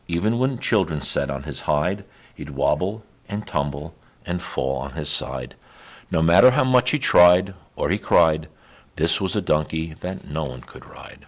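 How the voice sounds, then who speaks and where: Deep-voiced, very expressive male storyteller. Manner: expressive, measured rhythmic delivery. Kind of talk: children's read-aloud, rhymed scripted narration.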